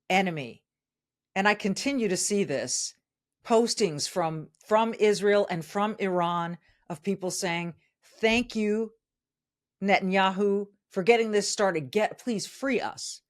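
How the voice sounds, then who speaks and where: Chatty woman host, mid-pitched. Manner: conversational, cues her producer. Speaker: podcast host. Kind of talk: casual commentary.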